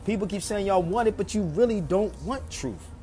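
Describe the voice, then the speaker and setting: A man's voice: sits high, animated, moderate pace, mellow. A man hosting a livestream, talking to his viewers in an emphatic, preachy way and repeating phrases for effect. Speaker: live stream host.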